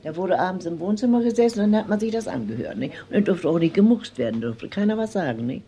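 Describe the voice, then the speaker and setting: A woman's voice: on the low side, expressive, fast, mellow. An older woman reminiscing casually in an unscripted, chatty way. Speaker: radio listener recalling her past.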